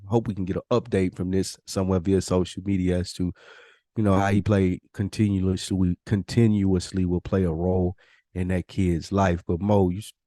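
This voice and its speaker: A man's voice: low-pitched, level tone, normal speed, mellow, no echo, studio quality. An American man hosting a podcast in a casual, reflective way, feeling out his wording as he goes. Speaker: podcast host.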